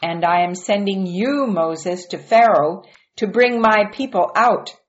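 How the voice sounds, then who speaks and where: A calm, commanding divine voice gives firm, reassuring instructions, as a woman reader puts it on. The delivery is slow and measured, on a dull, muffled recording. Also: medium pitch, natural voice. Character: God, calm patient commanding voice.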